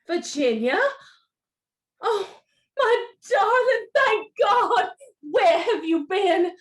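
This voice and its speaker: Doting mother for radio drama, woman acting: extremely high, swooping voice, fretful, melodramatic. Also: animated, very slow, heavily acted. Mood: frantic, relieved.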